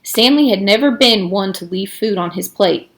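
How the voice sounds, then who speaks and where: A woman reads a children's novel aloud as narrator. Her voice sits at a middle pitch, and she gives the story an expressive, emphatic delivery. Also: normal speed. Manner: acts out dialogue with emphasis.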